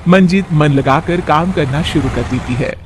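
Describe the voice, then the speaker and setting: A man's voice: a little high, normal speed, slight background noise. A man narrating a scripted moral bedtime story as a storyteller.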